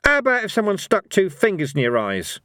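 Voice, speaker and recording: Storybook role: male reader plays cheeky aged warrior, brash joker, pitched well up, animated. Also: brisk, no room sound, clean studio sound, exaggerated voice. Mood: cocky, teasing. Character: Cohen, cocky old barbarian hero, irreverent joker.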